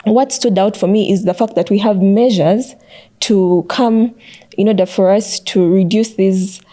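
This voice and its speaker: A woman's voice: medium pitch, fairly even intonation, unhurried, warm tone. A young woman giving earnest, conversational answers as a student panel guest. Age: young adult.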